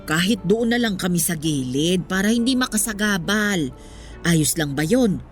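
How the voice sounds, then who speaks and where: A woman's voice: lowish voice, expressive, moderate pace, lightly acted. Casting: actress as old market woman, radio drama, bossy but pleading, music faintly behind. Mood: concerned, pleading. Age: older. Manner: nagging with husband, coaxing with guard. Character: elderly female street balot vendor, bossy yet pleading.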